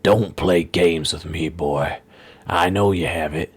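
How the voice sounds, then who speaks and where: A man voicing a gruff, menacing kidnapper who threatens in a slow, hissing half-whisper. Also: low-pitched, fairly even intonation, full character voice. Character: gruff menacing male kidnapper, knife-wielding thug. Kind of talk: audiobook reading.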